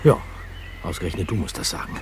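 A man's voice: fast, a little hiss or hum, close to an everyday voice. An actor playing a grumbling, evasive and easily embarrassed teenage boy detective in a German audio drama. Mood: defensive, sulky. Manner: grumbling, hesitant.

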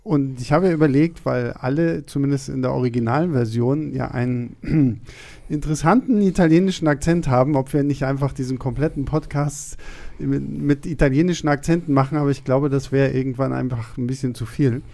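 A man's voice: medium pitch, brisk, vocal fry, warm tone. A man hosting a film podcast in a studio, chatting loosely with many fillers and hesitations. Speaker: podcast host. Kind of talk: casual film podcast chat.

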